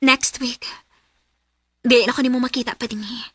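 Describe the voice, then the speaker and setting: An actress playing a watchful woman in a strained romance in a radio drama, breathy and husky, with a Cebuano accent. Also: fairly high pitch, steady tone, normal speed. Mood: hurt, resolute.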